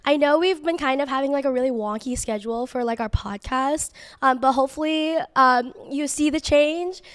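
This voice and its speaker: A young woman co-hosting a casual podcast, her voice raspy and hoarse from coughing fits, chatting loosely with lots of fillers. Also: high voice, moderate pace, fairly bright tone. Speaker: podcast co-host. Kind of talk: casual chat. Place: living room set with pink couch.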